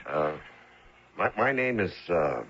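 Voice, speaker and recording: Radio mystery role: low-voiced American con-man killer, halting yet very animated in pitch, on a dull old recording. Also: breathy edge, exaggerated voice. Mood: halting, earnest. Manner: hesitant, halting delivery. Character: professional hitman posing as a long-lost son. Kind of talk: 1970s-80s radio mystery drama acting.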